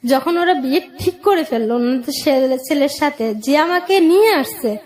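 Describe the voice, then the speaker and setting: A woman's voice: sits high, animated, moderate pace, darkish tone. A woman shares her personal story in an interview. She speaks in a plain-spoken way and relays past conversations in direct speech. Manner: plain-spoken, recounting with acted-out dialogue. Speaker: guest telling own life story.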